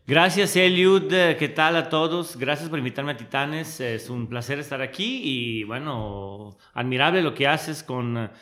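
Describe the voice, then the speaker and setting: A man. His voice sits at an ordinary middle pitch. He talks in a reflective, storytelling way, often pausing to hunt for the right word as he looks back on his life. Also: fairly bright tone. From Switzerland. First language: Italian. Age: early 30s. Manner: reflective, storytelling, searches for words. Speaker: guest. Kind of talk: conversational interview.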